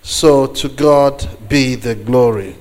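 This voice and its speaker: A man with a Nigerian accent, a native Igbo speaker, preaching a sermon to a congregation as a guest, deliberately, with pauses and repeated phrases for emphasis. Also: mid-range voice, a little mellow. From eastern Nigeria.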